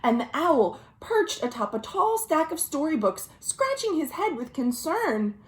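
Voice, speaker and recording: A woman with a high-pitched voice and an American accent hosts a storytime for little kids. Her delivery is very animated and slow, stretching out words and pausing to put simple questions to her listeners. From United States. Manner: expressive, drawn-out words, gentle questions to young listeners. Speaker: children's librarian hosting a virtual storytime. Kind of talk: read-aloud storytelling for young children, with chatty asides. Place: at home.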